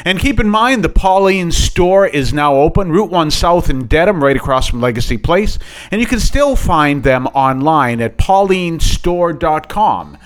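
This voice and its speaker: Higher-pitched male radio announcer. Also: lively intonation, unhurried. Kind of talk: scripted promotional announcement.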